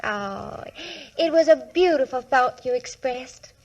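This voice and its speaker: High-voiced, gushing young lady. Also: lively intonation, a little slow, creaky, exaggerated voice, low-quality recording. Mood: grateful, adoring. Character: gracious, gushing, sweetly sentimental young lady. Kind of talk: 1940s radio comedy acting. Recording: vintage 1940s radio broadcast recording.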